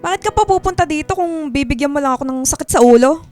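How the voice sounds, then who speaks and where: Woman acting an irritable, very high-pitched mother. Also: fast talker, a little mellow, faint music behind, close to an everyday voice. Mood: dismissive, irritated. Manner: brusque, defensive. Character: irritable, dismissive middle-aged mother, quick to anger. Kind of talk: Filipino radio drama acting.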